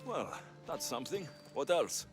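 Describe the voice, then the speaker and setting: An actor voicing a confident, protective mercenary in a video game, firm and direct. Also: male voice, on the high side, very animated, measured pace. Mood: curious, wry. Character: confident Greek mercenary man, protective, blunt, calm. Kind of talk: video game cutscene acting. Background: background music.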